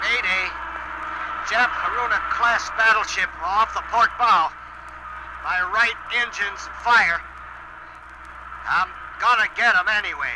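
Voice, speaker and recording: Pilot yelling mayday in a burning plane, high voice, engine noise. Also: male voice, slow pace, long pauses. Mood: frantic. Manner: shouting radio distress call. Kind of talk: 1950s radio drama acting.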